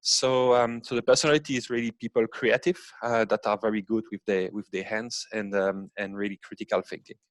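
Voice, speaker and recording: A man's voice: on the low side, fairly even intonation, a little fast, few pauses, no echo. A man with a foreign accent speaking conversationally as a launch director being interviewed on a panel. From outside New Zealand.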